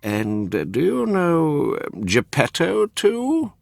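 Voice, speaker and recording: A man's voice: fairly high pitch, huge pitch swings, takes it slowly, gravelly, over music, voice pushed up, heavily acted. A man voicing a large, helpful pigeon in a storybook, asking things in a hesitant, inquisitive way. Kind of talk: storybook character voice.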